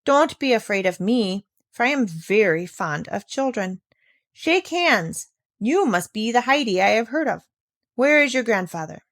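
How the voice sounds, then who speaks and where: A woman's voice: fairly high pitch, sing-song, unhurried, dry recording, studio quality. A woman voicing a kindly old village pastor in a storybook, earnest and formal in manner.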